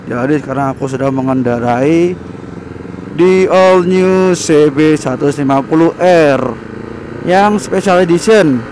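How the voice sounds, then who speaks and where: A young man chatting excitedly in a casual riding vlog, recorded on a helmet camera while on a motorbike in the city. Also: fairly high pitch, a little slow. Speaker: motovlogger. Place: on a motorbike on city streets, outdoors. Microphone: helmet-mounted action camera. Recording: loud wind and traffic noise.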